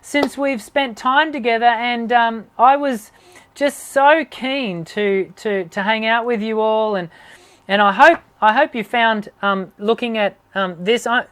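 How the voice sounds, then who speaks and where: A woman's voice: fairly high pitch, measured pace, a bit bright. A woman, a vocal coach answering viewer questions on a live stream in a meandering way, often correcting herself mid-sentence. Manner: meandering, thinks aloud, self-correcting.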